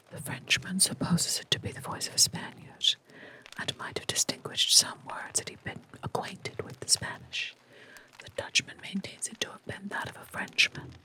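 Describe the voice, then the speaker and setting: A narrator whispering the lines of a calm, methodical male detective who reasons aloud in a storybook reading. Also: mid-range voice, natural voice, poor audio. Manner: whispered, measured and deliberate.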